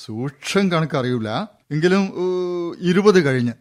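A man's voice: on the high side, lively intonation, a little slow. An actor playing an easygoing older village father, speaking colloquial Malabar Malayalam in a mild manner. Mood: mild, reassuring. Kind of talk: radio drama dialogue.

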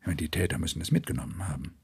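A man's voice: extremely low pitch, rapid-fire, exaggerated voice. An actor playing a troubled, sleepless male murder investigator who broods and thinks aloud in a radio drama. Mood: brooding, weary. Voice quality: husky.

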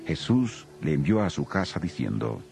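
A man's voice: extremely low pitch, big pitch swings, normal speed, creaky. A man narrating a dramatized Bible in a solemn storytelling style. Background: soft music.

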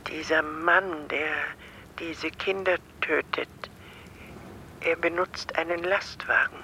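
A performer playing a cryptic, foreboding woman caller like a seer, deliberate and ominous, in a radio drama. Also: mid-range voice, steady tone, sharp tone, slight background noise, rough recording. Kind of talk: naturalistic German radio drama acting.

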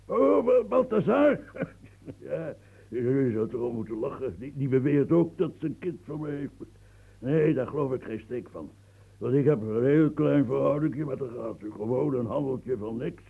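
A man's voice: medium pitch, expressive, moderate pace, vocal fry, mellow, breathy edge, low-quality recording. An actor playing an aging, boastful, womanizing old nobleman who rambles, chuckles, coughs and stammers in a radio play. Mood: rambling. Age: older.

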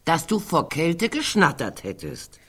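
An actress playing a sardonic, gloomy elderly woman in a German radio drama, husky and bitingly dry in her delivery. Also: deep voice, huge pitch swings, measured pace, fairly bright tone, heavily acted. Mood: wry, gloomy. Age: older.

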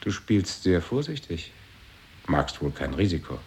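A man's voice: low voice, very animated, unhurried, a little mellow, breathy edge, exaggerated voice. An actor playing a terse, insistent man in an avant-garde radio play, speaking in short, repeated phrases. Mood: questioning, wary. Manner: short clipped lines, repetition.

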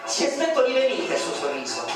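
Poetry narrator, measured ironic reading, mid pitch, echoey and distant, music. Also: huge pitch swings.

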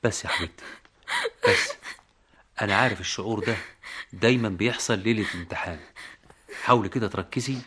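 A man's voice: on the low side, fast talker, long pauses, sharp tone, breathy edge. An actor playing a harried middle-aged Egyptian father in a TV drama, tense then soothing. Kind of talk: TV drama acting.

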